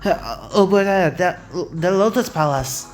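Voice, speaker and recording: An actor voicing an anxious young cookie adventurer, hesitant and earnest, in an animated fantasy fandub. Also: medium pitch, a little slow, natural voice. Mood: nervous, hushed. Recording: voice over background music.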